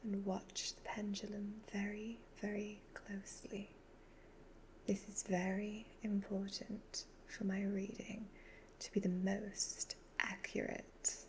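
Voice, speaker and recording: A breathy, half-whispered evil witch acted out by a woman in an ASMR roleplay. She is a sly, hypnotic fortune teller who gives instructions very slowly in an even, level tone. Some steady noise sits behind the voice. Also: mid-range voice, natural voice, low-quality recording. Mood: soothing, commanding. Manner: slow, deliberate, instructing in measured phrases. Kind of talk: soft-spoken ASMR roleplay.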